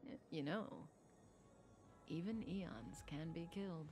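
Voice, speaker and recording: A woman's voice: deep voice, slow pace, darkish tone, soft background music. A woman playing a sly, composed, worldly female villain in a video game, speaking in a teasing, knowing manner. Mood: musing, knowing. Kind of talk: scripted video game voice acting.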